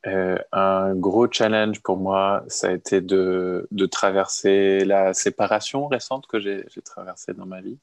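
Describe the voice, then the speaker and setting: A middle-aged man answering interview questions slowly and hesitantly, with touches of wry humor. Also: deep voice, steady tone, no room sound. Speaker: guest.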